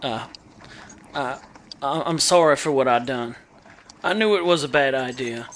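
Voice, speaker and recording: Man playing a condemned western outlaw, halting. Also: mid-range voice, fairly bright tone, lightly acted. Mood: resigned, remorseful. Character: condemned Old West outlaw, bitter and resigned. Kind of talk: western radio drama. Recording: noisy outdoor scene.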